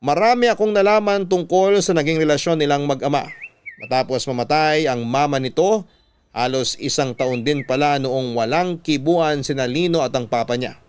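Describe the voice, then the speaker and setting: A man narrating a scripted radio drama in a measured storytelling way. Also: on the high side, clean tone.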